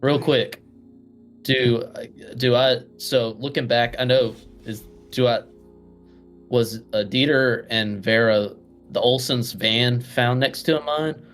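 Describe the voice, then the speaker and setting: A man's voice: medium pitch, slow pace, fairly bright tone, soft background music. A man playing a tabletop role-playing game, talking casually as he asks questions and reasons things through.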